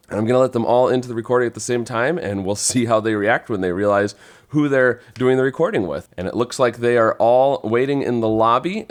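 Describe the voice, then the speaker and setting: A man hosting a podcast in a casual, informal, rambling conversational way. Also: medium pitch. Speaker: podcast host. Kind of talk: casual chat.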